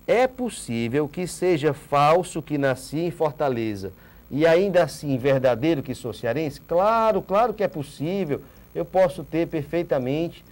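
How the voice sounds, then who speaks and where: Animated man teaching in a studio. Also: a little high. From Ceará, Brazil. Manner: animated, asks rhetorical questions and repeats key points. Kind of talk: recorded online exam-prep lecture. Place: green studio set.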